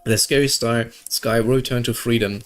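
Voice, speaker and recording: A man's voice: mid-range voice, steady tone, normal speed. Gaming creator, male, mid-range voice, reads story dialogue aloud with casual asides, music underneath. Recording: music behind the voice.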